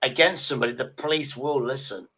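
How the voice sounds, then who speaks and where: A man's voice: medium pitch, fast talker, pushed and bright, slightly rough. A man in his sixties chatting casually on a livestream, emphatic and often repeating his phrases. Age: 60s.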